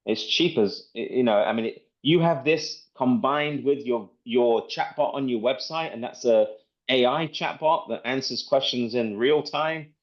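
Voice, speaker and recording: Male presenter, mid-pitched, explanatory and repetitive, informal sales demo, dull online call sound. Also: measured pace. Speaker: presenter demonstrating software.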